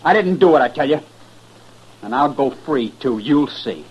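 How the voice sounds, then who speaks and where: A man's voice: medium pitch, lively intonation, moderate pace, slightly rough. A man acting a defiant, evasive young drifter accused of murder in a 1950s western radio drama, surly and clipped. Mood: protesting, defiant. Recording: very muffled, thin old radio recording.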